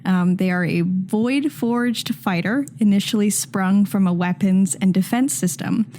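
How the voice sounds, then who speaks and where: A woman's voice: medium pitch, unhurried, dark tone, a little hiss or hum. A woman in casual conversation as a podcast player, introducing her tabletop role-playing character.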